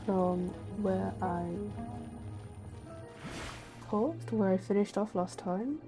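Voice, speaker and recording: A woman's voice: medium pitch, takes it slowly, over music. A woman livestreaming a video game, chatting casually to viewers.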